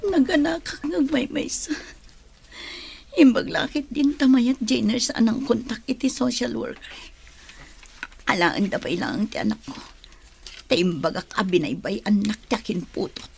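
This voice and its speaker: A woman's voice: on the high side, animated, unhurried, gravelly, pauses often, slight background noise. A woman playing a distressed, determined young mother in a radio drama, with tearful delivery. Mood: weary resolve.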